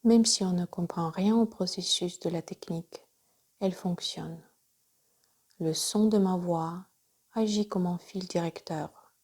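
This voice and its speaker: A woman guides a relaxation session in a mellow, fairly low voice. She is soft and breathy, often close to whispering. Her phrases come very slowly and calmly, with measured pauses between instructions. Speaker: yoga nidra instructor.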